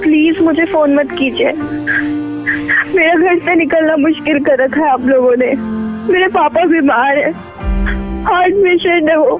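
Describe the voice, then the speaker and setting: Young woman, phone caller, upset and pleading, very high voice. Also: a little slow, pauses often, phone-quality sound, over music. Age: young adult. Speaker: caller on a prank call.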